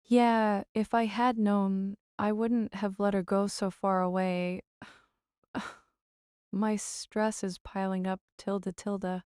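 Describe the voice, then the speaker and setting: A bored, tipsy woman voiced by a woman sulks languidly in an audio drama. Her voice is smooth and unhurried, with little rise or fall. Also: medium pitch, flat delivery, a little mellow, dry recording, clean studio sound, natural voice. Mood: sulky, bored. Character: bored, tipsy, sulky woman drinking in a bar.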